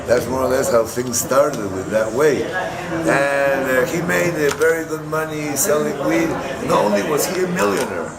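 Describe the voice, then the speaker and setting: An older man telling his life story in an interview, halting and slangy, with many restarts. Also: on the high side, vocal fry. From Guatemala. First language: Spanish. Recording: crowd noise behind. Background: busy crowd chatter.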